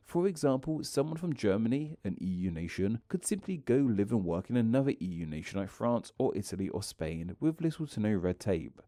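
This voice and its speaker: A man narrates a scripted explainer with a low, mellow voice that moves lively up and down. He drops in wry, jokey asides. The sound is close and dry, with no room echo. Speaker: narrator of the reacted video.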